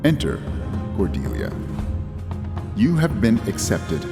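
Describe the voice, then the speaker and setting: An actor playing a solemn robed head librarian in an audio drama, speaking in a formal, measured, ceremonious way. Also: male voice, lowish voice, big pitch swings, heavily acted. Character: tall bald solemn male head librarian in robes. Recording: long hall reverb, music underneath.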